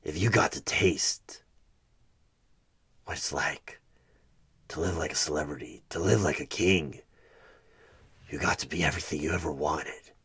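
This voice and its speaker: A man playing a sinister evil tempter in a horror ASMR roleplay, coaxing and menacing in a slow, raspy half-whisper as a job interviewer. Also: extremely low pitch, fairly even intonation, a bit bright, full character voice. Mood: sly, taunting. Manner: slow, deliberate, persuasive, repeating words for emphasis.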